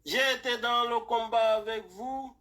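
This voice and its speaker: A man with a high voice calls into a live stream and defends himself. He hesitates, stumbles and restarts, and he comes from Cameroon. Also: steady tone, unhurried, bright, forceful tone. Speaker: caller. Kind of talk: live call-in conversation.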